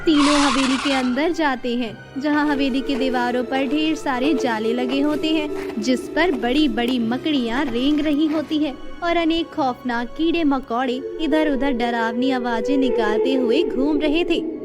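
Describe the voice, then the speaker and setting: A woman's voice: high voice, unhurried. A woman storyteller giving a scripted narration of a horror story. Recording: music under the voice.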